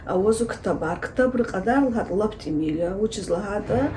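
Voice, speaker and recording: A woman teacher answering interview questions at length, explaining steadily with many pauses and filler sounds. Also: medium pitch, expressive, mellow, a little hiss or hum. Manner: explanatory, hesitant with frequent fillers. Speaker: Lezgian language teacher and researcher.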